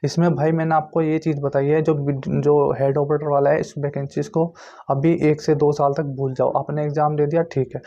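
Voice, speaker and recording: A man's voice: a little high, little pitch movement, moderate pace, warm tone. A man giving an informal explanatory update to viewers, repeating his points insistently and checking in often. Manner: repetitive, insistent explaining with frequent check-in tags. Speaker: YouTube host giving exam recruitment updates. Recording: muffled lo-fi recording.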